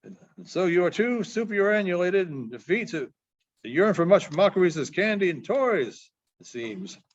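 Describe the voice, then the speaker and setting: A man's voice: high voice, sing-song, moderate pace. Man as a pompous, wordy frontier wag. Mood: mocking. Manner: pompous, mocking. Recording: dull, muffled lo-fi sound.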